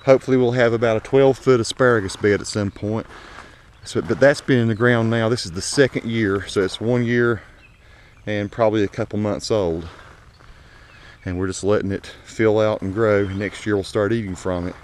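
Male garden-tour host, fairly deep, conversational and unscripted, on noisy outdoor action camera sound. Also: steady tone, moderate pace. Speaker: home gardener giving a tour. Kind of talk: casual vlog narration. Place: outdoors in a home garden, walking. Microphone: action camera mic.

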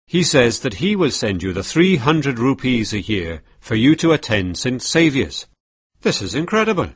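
A man's voice: medium pitch, sing-song, moderate pace, husky, no room sound. A man voicing a skeptical, formal male priest.

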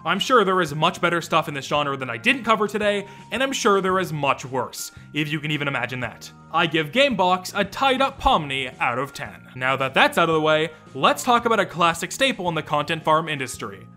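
Male YouTube commentator, higher-pitched and very expressive, wry and irreverent, reading a sarcastic script over music. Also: brisk, hardly any pauses.

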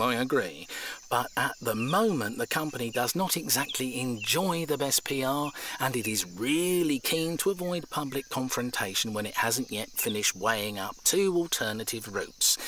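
An actor playing a blunt, smug railway surveyor in an audio drama, officious and dismissive. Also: male voice, medium pitch, a bit bright. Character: blunt, smug male railway surveyor defending his employer.

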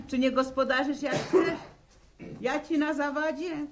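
A woman's voice: high-pitched, fairly even intonation, slow pace, heavy background noise. An actress playing a plain-spoken peasant widow and mother in a 1960s radio serial, with a homely, bantering, nagging way of talking.

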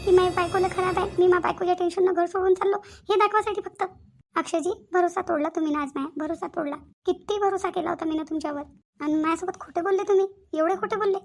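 A woman's voice: extremely high pitch, fairly even intonation, fast. A woman voicing a hurt young wife in a melodramatic village cartoon, plaintive and accusing, with a Varhadi Marathi accent. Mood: anguished. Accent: Varhadi Marathi (Vidarbha).

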